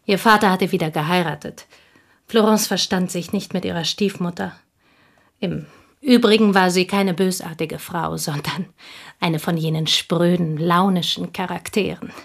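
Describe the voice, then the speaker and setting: A devoted, sober confidante from a small provincial town in a radio drama. Her voice sits on the low side. She tells things in a measured, precise and wistful way, like someone leafing through memories. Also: fairly even intonation, creaky. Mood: dryly observant. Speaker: actor in a radio play. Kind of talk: German radio drama acting, reflective storytelling.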